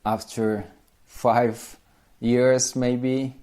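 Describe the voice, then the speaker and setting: A man's voice: mid-range voice, little pitch movement, very slow, a little mellow. A man in his forties from Poland with a Polish accent, speaking English as a second language, talking casually to camera as a language teacher, repeating himself with small grammar slips.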